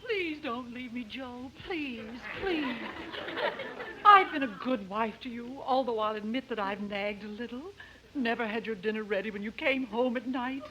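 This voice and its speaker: An actress playing a pleading wife in melodramatic 1950s radio soap style. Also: female voice, fairly high pitch, normal speed, background noise, close to an everyday voice. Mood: tearful pleading. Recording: dull 1950s radio recording.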